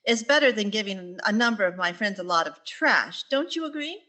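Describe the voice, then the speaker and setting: A woman's voice: medium pitch, animated, a little fast, bright, forceful tone. A woman playing a wry, put-upon schoolteacher in a radio sitcom, with a dry, wisecracking delivery. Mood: exasperated. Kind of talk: radio sitcom acting and narration.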